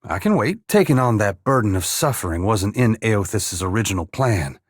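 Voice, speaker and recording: An actor playing a humble, weary dead farmer-saint in a fantasy video game, plain-spoken yet solemn, with a gravelly, husky voice. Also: male voice, lowish voice, expressive, normal speed, no room sound, clean studio sound. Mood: weary, reflective. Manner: plain-spoken rustic phrasing mixed with solemn archaic oratory. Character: humble dead farmer-saint, once god-touched, wry, earnest and weary. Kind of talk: dramatic fantasy game dialogue.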